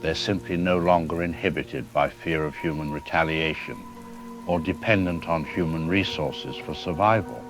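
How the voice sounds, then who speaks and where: A man playing a weary, resigned scientist in an apocalyptic audio drama, giving a measured, academic monologue on a dull lo-fi recording. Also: a bass voice, over music. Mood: resigned, subdued. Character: weary, resigned male scientist surviving the apocalypse, solemnly analytical.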